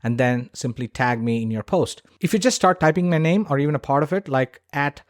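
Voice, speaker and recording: A man's voice: fairly high pitch, fairly quick, no echo, studio quality. A man hosting a solo podcast, giving opinionated advice in a blunt, informal and emphatic way. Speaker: podcast host.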